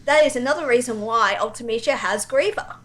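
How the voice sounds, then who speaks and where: A woman's voice: a little high, fairly quick, fairly bright tone. A woman speaking as a guest in a casual chat about video games. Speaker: guest, amateur voice actor.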